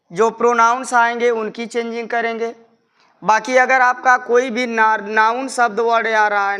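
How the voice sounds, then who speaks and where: A male teacher delivers an explanatory lesson in a bright, forward voice. His speech is slow and very clean in tone. He goes through each point methodically, restating it as he goes. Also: extremely high pitch, smooth. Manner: methodical, repetitive step-by-step explaining. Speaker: English grammar teacher. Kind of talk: explanatory classroom-style lesson.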